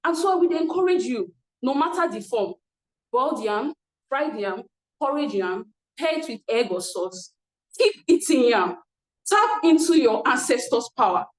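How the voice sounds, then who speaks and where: A woman's voice: high-pitched, lively intonation, a little slow. A woman giving an emphatic, theatrical storytelling speech. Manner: emphatic, declamatory. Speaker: speech contestant. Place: online meeting, echoey room. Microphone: video call. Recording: roomy echo.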